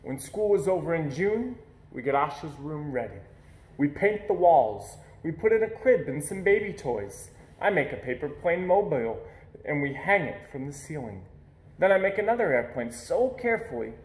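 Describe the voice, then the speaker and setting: A man reading a children's story aloud in an expressive narrating style. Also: fairly high pitch. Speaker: storybook reader. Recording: echoey room.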